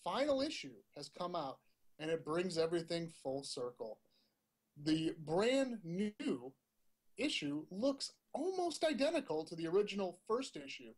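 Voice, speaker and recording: A man's voice: high-pitched, sing-song, a little slow. A man co-hosting a tech podcast, delivering news with a punchy, opinionated style and rhetorical questions. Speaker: podcast co-host. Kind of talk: casual tech news chat.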